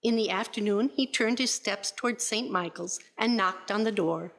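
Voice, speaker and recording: A woman's voice: medium pitch, normal speed. A woman narrator reading scripted storybook narration for a radio play.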